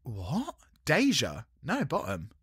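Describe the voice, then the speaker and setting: Animated, sarcastic man. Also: on the high side, slow, husky, no echo, studio quality. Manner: animated, sarcastic, swears freely. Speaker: podcast co-host. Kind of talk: casual chat reviewing a TV show.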